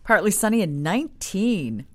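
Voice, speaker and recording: A woman's voice: medium pitch, very animated, normal speed, a little mellow. A woman co-hosting morning radio, chatty and enthusiastic. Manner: chatty, enthusiastic, trails into asides. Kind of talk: casual radio chat.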